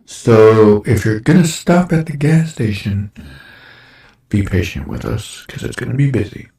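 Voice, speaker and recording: A man in his forties, hosting a casual chat show, his voice a little raspy from dust, rambling along with lots of fillers. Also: lowish voice, expressive, a little slow, dark tone. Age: 40s. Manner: rambling, with many fillers and restarts. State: slightly raspy from breathing dust. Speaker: show host. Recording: roomy echo.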